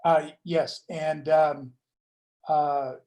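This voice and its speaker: A man from California chatting casually at a meeting, telling a story with laughs and halting fillers. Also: fairly high pitch, little pitch movement, darkish tone. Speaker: meeting participant. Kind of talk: casual chat.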